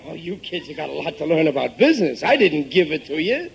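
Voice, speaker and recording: A high-voiced, middle-aged American working stiff of a dad from an old radio comedy. He blusters and exclaims with great self-importance, scheming away and mangling fancy words. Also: big pitch swings, quick pace, creaky. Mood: self-important bluster. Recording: old-time radio recording from tape.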